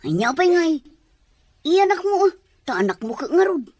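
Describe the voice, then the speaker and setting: High-pitched nagging older woman played by a woman. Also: very animated, normal speed, a little mellow, no room sound, clean studio sound. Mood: scolding. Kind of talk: Ilocano radio-style serial drama acting.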